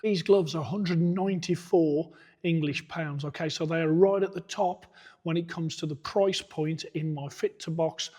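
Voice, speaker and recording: Hoarse man coach reviewing gear. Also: fairly high pitch, fairly even intonation, moderate pace, darkish tone. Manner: methodical, plain-spoken, point by point. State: hoarse, losing his voice. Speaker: boxing coach reviewing equipment. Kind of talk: product review walkthrough.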